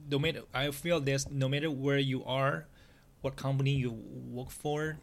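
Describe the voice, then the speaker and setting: Gravelly-voiced man hosting a solo podcast. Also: mid-range voice. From Taiwan. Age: 40s. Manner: earnest, plain, with frequent restarts in non-native English. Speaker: podcast host.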